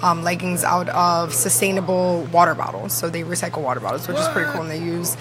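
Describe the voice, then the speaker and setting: A woman with a raspy voice, a traffic reporter chatting as a radio show guest in an easygoing, modest way. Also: on the low side, animated, quick pace, a crowd in the background. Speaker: traffic reporter and wellness business owner. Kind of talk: casual guest chat.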